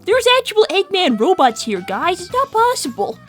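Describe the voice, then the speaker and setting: Very high, bossy cartoon girl hedgehog. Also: sing-song, normal speed, faint music behind. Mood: exasperated. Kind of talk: fan-made cartoon dub.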